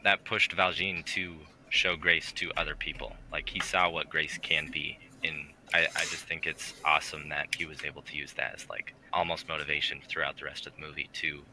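A man's voice: a bass voice, level tone, moderate pace, hardly any pauses, bright, forceful tone, slight background noise, rough recording. A man in his twenties co-hosting a podcast, chatting casually with a playful, joking manner. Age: 20s. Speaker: podcast co-host. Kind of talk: casual chat.

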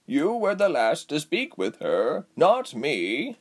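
A man voicing a weary, grumbling but caring old woman with a raspy voice in a storybook reading. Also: a little high, wildly swooping pitch, slow, voice pushed up, exaggerated voice. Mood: grumbling, defensive.

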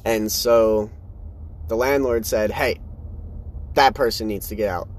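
A man's voice: a little slow, slight background noise. A man of twenty talking casually and candidly, hosting his own solo podcast in a rambling, choppy way. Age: 20s. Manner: rambling, candid, animated swings in pitch. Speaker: podcast host.

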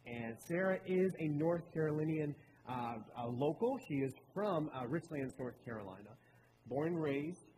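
An earnest man in his late twenties tells personal stories in a relaxed, conversational way, like a speaker at a church service. His voice rings out with a long reverb in a large hall. Steady room noise hums clearly behind him. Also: a little high, moderate pace. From Baltimore, Maryland. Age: late 20s. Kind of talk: personal talk in a sermon.

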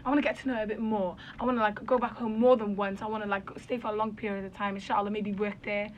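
A woman's voice: medium pitch, little pitch movement, fast talker, lo-fi sound. A young woman, talking informally and emphatically in a casual group chat. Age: young adult. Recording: clear background noise and room ambience.

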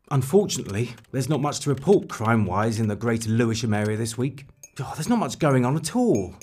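An actor in a dark comedy audio drama playing a self-important, fretful amateur crime blogger who dictates theatrically. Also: male voice, medium pitch, close to an everyday voice. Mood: pompous. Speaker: narrator.

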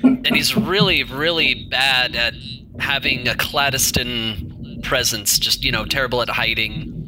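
A man's voice: medium pitch, noisy background. A man in his late twenties, a tabletop game player chatting casually and laughing often. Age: 20s. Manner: laughs often, quick and playful. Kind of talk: casual chat among players.

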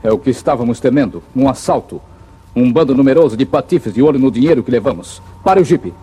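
Um ator brasileiro interpretando um herói de faroeste destemido, com fala firme, formal e comedida de radionovela dos anos 1950. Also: male voice, mid-range voice, animated, dark tone, slightly rough. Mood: commanding. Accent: Brazilian. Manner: firm, formal, measured. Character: steadfast, fearless cowboy hero lawman. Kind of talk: 1950s radio western acting.

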